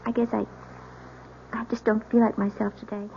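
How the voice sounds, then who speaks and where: An actress playing an anxious young newlywed wife in an old-time radio mystery, halting and pleading, repeating herself. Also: female voice, mid-range voice, flat delivery, vocal fry, slight background noise, close to an everyday voice. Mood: uneasy, evasive. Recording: dull old radio recording, faint music.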